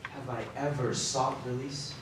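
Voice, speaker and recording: A man's voice: mid-range voice, fairly even intonation, a little slow, lightly acted. Guy doing a young period businessman, defensive and formal, radio play, far from mic in an echoey noisy hall. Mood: pleading challenge. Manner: questioning, formal phrasing. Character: defensive young businessman confronted by his fiancée. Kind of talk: live radio drama acting, period dialogue. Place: live community theatre stage. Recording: very echoey live hall, distant, clear noise.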